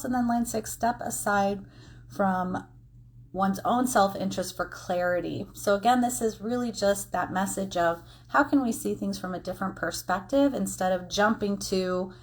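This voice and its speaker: A woman giving a casual, encouraging oracle card reading, explaining things conversationally with informal asides. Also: mid-range voice. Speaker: tarot and oracle card reader.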